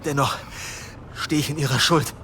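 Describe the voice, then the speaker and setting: A man's voice: a little high, fairly even intonation, moderate pace, sharp tone, slight background noise. An actor playing an eager, deferential officer henchman in a video game. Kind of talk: cinematic game acting.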